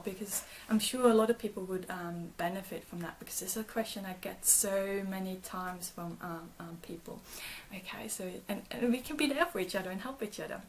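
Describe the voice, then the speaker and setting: Gentle woman, reassuring. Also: mid-range voice, slight background noise, rough recording. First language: German. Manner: gentle and reassuring, with frequent restarts and repeated words. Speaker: trauma therapist and channel host. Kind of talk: live-stream talk answering viewer comments.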